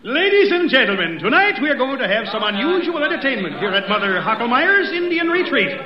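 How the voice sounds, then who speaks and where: A male announcer with an extremely high, swooping voice introduces an old radio show in an excited style. The recording is echoey, with a crowd behind him. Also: a little fast, muffled recording.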